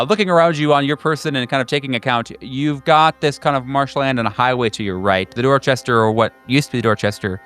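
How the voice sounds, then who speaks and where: A man narrating as game master of a horror tabletop game in a deliberate, halting, dramatic way. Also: medium pitch, a bit bright, clear, resonant tone.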